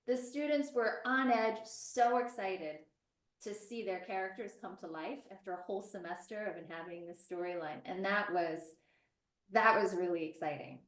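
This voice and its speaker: A woman teacher, a guest on a webinar, telling an animated story about a class project with many restarts. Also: medium pitch, normal speed. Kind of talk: casual webinar chat. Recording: roomy and distant from the mic.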